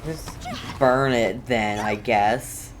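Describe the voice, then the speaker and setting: A woman streamer with a very low voice talks herself through a game. Her commentary is casual and rambling, at an unhurried pace, with faint noise behind her. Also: steady tone.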